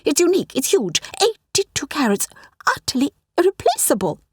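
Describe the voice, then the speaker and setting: A woman reader puts on a stern older lady academic for an audiobook. She is proper and exasperated, with polite, clipped reproach, pitched high and swinging in tone, in a dry recording. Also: sing-song, a little fast, dry recording. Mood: snapping, indignant.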